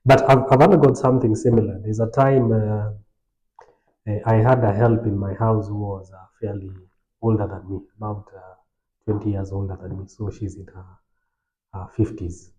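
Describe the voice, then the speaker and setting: Low-voiced man sharing a story. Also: level tone, normal speed, dark tone, roomy sound, lo-fi sound. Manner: anecdotal, light laughs, mixes in Swahili phrases. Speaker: podcast host. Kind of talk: casual podcast chat.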